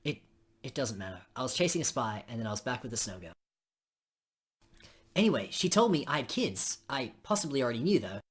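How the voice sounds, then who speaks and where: A woman with a husky voice reading game dialogue aloud on a stream, with casual asides. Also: extremely low pitch, big pitch swings, brisk, pauses often.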